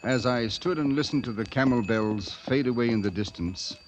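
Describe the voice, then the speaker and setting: A man's voice: medium pitch, normal speed, background noise, natural voice. An actor playing a reserved British newspaperman in India, narrating in an articulate, proper manner in a 1950s radio drama with a British accent. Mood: reflective. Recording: muffled vintage 1950s radio broadcast, music behind.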